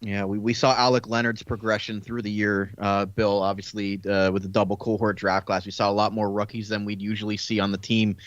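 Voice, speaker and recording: Slightly dull, dry audio carries a man's fairly low voice. He hosts a sports show in a casual, conversational way and builds long questions. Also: flat delivery, a little fast, hardly any pauses, no room sound.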